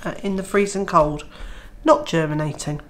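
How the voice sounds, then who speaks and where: A woman's voice: sits low, normal speed, a bit bright. A woman vlogging casually about her homestead while she has a cold, sounding nasal and throaty, with lots of filler sounds. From Wales. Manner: chatty, many uh fillers. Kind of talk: casual vlog to camera.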